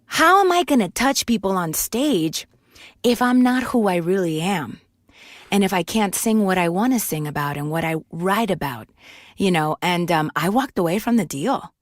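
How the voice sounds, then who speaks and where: A woman's voice: fairly low pitch, expressive, moderate pace, dry recording, clean studio sound. A woman in her forties from Puerto Rico, telling her life story candidly in a casual interview, with many restarts. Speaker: guest voice actor and singer.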